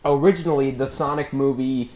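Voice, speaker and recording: A talkative young man co-hosts a casual podcast. His voice sits at an ordinary male pitch and he rambles in a jokey way. The recording is echoey, made in a bare apartment room. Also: fairly even intonation, brisk, darkish tone, a little hiss or hum. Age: early 20s. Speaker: podcast co-host. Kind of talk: casual chat about a movie. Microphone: individual microphone.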